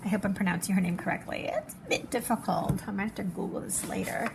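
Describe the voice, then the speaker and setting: A woman hosting a children's read-aloud in an expressive storybook style, with chatty asides. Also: medium pitch, fast talker, vocal fry, background noise.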